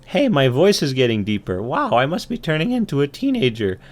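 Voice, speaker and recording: A man voicing a fast-maturing male ant, an earnest and wondering seeker in a comic story, slightly urgent. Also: on the high side, huge pitch swings, faint music behind, voice pushed up.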